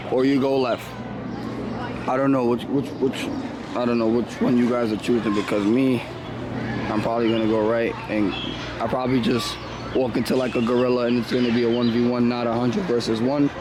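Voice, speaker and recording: Give me a hoarse man, chatting casually to viewers while walking outdoors, with clear background noise. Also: medium pitch, level tone, normal speed, pauses often. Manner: laid-back slangy talk to viewers. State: hoarse, losing his voice. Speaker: vlogger. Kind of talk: casual vlog narration. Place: outdoors at a city zoo.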